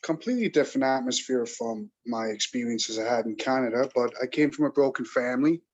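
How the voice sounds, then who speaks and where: A man's voice: mid-range voice, a little mellow. Reflective man from England, muffled mic. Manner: measured, reflective, halting at times. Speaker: guest. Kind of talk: candid interview answers.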